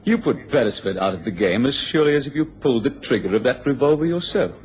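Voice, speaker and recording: A man's voice: mid-range voice, lively intonation, fast, darkish tone, slight background noise, natural voice. An actor playing a taunting upper-class businessman in a radio drama, with a sardonic, goading manner. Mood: mocking. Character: sneering, taunting upper-class English businessman, a bitter rival.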